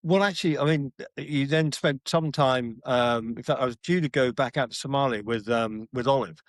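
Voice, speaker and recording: A middle-aged man with a mid-pitched voice tells blunt, slangy stories in a casual interview. He restarts his sentences often and stumbles through fillers. The recording is close and dry. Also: moderate pace, dry recording. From Britain. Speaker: podcast guest.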